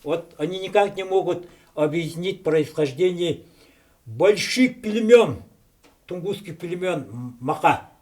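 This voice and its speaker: A middle-aged man giving a university history lecture, halting and correcting himself often, stressing key terms. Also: high voice, lively intonation, darkish tone. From Yakutia. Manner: halting, self-correcting with frequent filler sounds.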